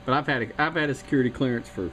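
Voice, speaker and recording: A man's voice: medium pitch, rapid-fire, a bit bright. A man from South Carolina checking in on a CB radio net, rambling in a casual, chatty way and laughing at himself. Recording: noisy CB radio.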